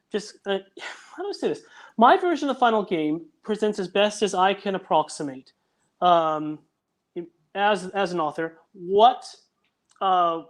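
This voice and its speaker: A man who writes audio dramas, talking as a guest on a video call and thinking aloud while he explains his story. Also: high voice. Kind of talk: casual video chat.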